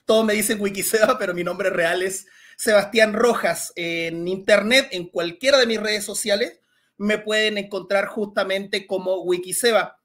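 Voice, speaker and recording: A man's voice: high voice, normal speed. Chilean man explaining science over a headset. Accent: Chilean with some Mexican Spanish. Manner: relaxed, explanatory, with frequent "este" fillers. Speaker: science communicator guest. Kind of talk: casual Q&A talk on a video call. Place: small room at home. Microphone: headset on a video call.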